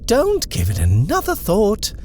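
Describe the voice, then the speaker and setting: A man voicing a brisk, brusque housekeeper woman with a clipped, barking manner. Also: high-pitched, huge pitch swings, darkish tone, a little hiss or hum, pitched above the performer's own voice, full character voice. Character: brisk, brusque middle-aged female housekeeper-driver.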